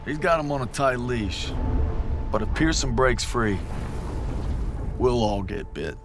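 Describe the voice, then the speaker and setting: A man's voice: mid-range voice, animated, a little slow, background noise, close to an everyday voice. A man playing an earnest young American soldier, narrating a letter home in a reflective, plainspoken way for a game. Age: young adult. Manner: informal, plainspoken.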